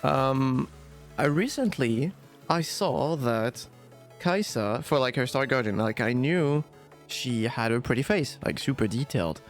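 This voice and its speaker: A man's voice: mid-range voice, expressive, measured pace, faint music behind. A man in a casual podcast chat, talking as a panelist in an exasperated, sweary way.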